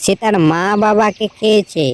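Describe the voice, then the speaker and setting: A woman's voice: mid-range voice, fairly even intonation, normal speed, a little hiss or hum, lightly acted. A woman voicing a spiteful village busybody in a cartoon, taunting and scornful. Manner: mocking, scornful.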